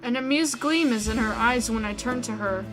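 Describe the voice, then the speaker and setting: A woman with a fairly high voice hosts a visual novel playthrough. She reads the story narration aloud and comments casually, with clear music playing behind her. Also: moderate pace. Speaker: video game streamer.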